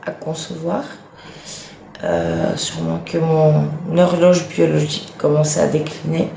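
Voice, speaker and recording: A woman in her late thirties with a Franc-Comtois accent, her voice carrying a gravelly edge. She chats emphatically with viewers in an echoey room at home, with a faint hiss behind her. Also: low voice, a little slow, dark tone. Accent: Franc-Comtois French. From Franche-Comté, eastern France. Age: late 30s. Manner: chatty, emphatic, exasperated retorts. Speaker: live stream host, mother of a large family. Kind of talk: casual live stream chat, answering viewer comments.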